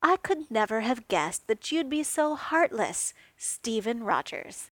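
A woman reading fan fiction aloud, voicing a brash, witty male inventor with quick, theatrical, teasing lines that climb higher and louder. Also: expressive, no echo, full character voice. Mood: mock outrage.

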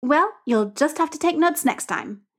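A woman voicing a bright, easygoing young man who teases his friend cheerfully in a storybook reading. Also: extremely high pitch, expressive, unhurried, voice pushed up, heavily acted. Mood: playful. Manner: teasing, cheerful.

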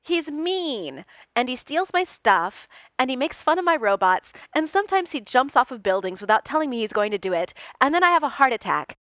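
A woman voicing a sarcastic, self-pitying man who snaps and whines loudly. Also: on the high side, very animated, a little slow, no room sound, clean studio sound, voice pushed up. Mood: whiny. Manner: snappish, whiny, loud.

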